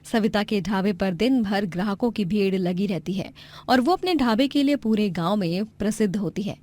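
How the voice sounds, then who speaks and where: A female storyteller narrates from a script. Her voice sits at a medium pitch and carries the tale along. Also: moderate pace, a little mellow.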